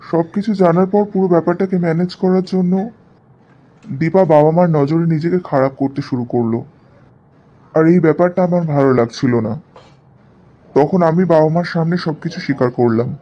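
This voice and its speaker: A man's voice: on the high side, fairly quick, pauses often, natural voice. A man voicing an earnest young divorced man in cartoon dialogue, on a muffled recording. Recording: dull, muffled audio.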